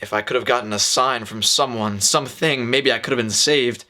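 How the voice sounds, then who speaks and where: An actor playing a gloomy, self-pitying slam poet who narrates a noir film in melodramatic, confessional monologue. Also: male voice, medium pitch, fairly quick, bright, forceful tone, lightly acted. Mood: brooding regret. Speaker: narrating lead character. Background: music.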